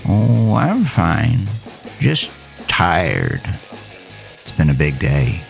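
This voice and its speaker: A man voicing a frail, meek and gently confused elderly father in an audio drama. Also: low voice, wildly swooping pitch, drawn-out words, vocal fry, soft background music, heavily acted. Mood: tired, vague. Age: older. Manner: meek, vague, dismissive of concern. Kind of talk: audio drama character dialogue.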